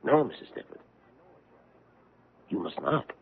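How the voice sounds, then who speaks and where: An actor playing a grave foreign man in a radio drama, speaking in formal, deliberate, intense phrases. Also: fairly low pitch, big pitch swings, vocal fry, breathy edge, heavily acted. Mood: urgent warning. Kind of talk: old-time radio drama acting. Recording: tinny, band-limited vintage radio broadcast.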